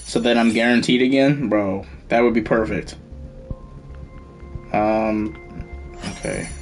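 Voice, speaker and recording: A man's voice: fairly low pitch, fairly even intonation, takes it slowly, rough recording. A man giving casual live gameplay commentary, thinking aloud in an informal way. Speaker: game streamer. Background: faint game music.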